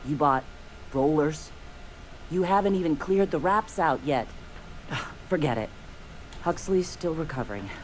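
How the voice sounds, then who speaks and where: An actress voicing a blunt, practical, exasperated rebel medic in a video game, with a dry, sarcastic delivery. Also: female voice, deep voice, lively intonation, measured pace, long pauses, a little hiss or hum, poor audio. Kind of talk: video game dialogue acting.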